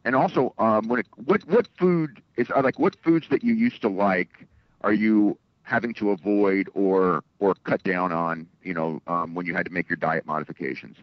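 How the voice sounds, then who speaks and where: A man working as a sports reporter, asking questions in a halting, stop-start way over a conference call. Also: low voice, dry recording. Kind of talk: casual press questioning. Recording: dull lo-fi conference call line.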